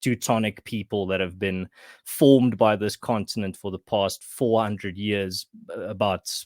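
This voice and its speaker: Afrikaans speaking south african guy on a podcast, kinda low voice, thinks out loud, lots of restarts. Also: steady tone, measured pace, dry recording, studio quality. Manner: thoughtful, many restarts and fillers. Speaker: podcast guest, writer. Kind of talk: casual conversation.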